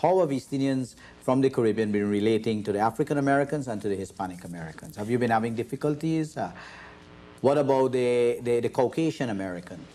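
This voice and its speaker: A man's voice: mid-range voice, expressive, fast, mellow. A man hosting a panel discussion, asking long, probing questions and often restarting his sentences. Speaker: host and moderator.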